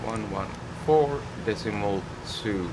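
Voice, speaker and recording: Slow, low-voiced Finnish man over loud drone. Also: noisy background. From Finland. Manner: unhurried, halting, short phrases. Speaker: live streamer flying a flight simulator. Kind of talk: live stream commentary, thinking aloud.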